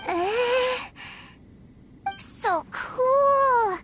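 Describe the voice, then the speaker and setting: A woman's voice: very high-pitched, drawn-out words, exaggerated voice. A woman playing an innocent, adoring little brother in a video game, gushing and wide-eyed. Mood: awed delight. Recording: loud music behind the voice.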